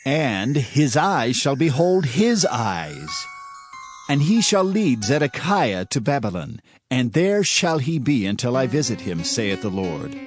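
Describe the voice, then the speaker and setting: An indignant king in a Bible audio drama. He declaims with a very animated, medium-pitched voice and stresses each accusation. Music plays underneath. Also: slow pace. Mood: indignant, accusing. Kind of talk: dramatized scripture reading.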